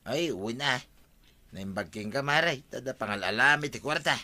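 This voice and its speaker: Bright-voiced Ilocano neighbour man, acted. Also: mid-range voice, expressive, normal speed. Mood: casual. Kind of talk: comedy drama acting.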